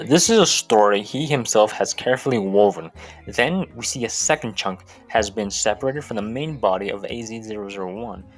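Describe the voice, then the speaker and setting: A man hosting a video essay, giving an informal, sweary recap that mixes scripted narration with casual commentary. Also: mid-range voice.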